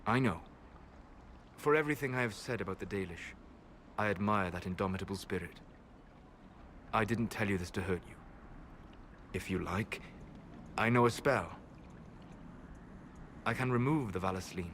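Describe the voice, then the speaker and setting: Aloof elven mage, low and measured, faint room hiss behind. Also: male voice. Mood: tender. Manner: calm, measured, soft-spoken. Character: aloof elven male mage, scholarly and guarded. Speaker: video game character. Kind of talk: game cutscene dialogue.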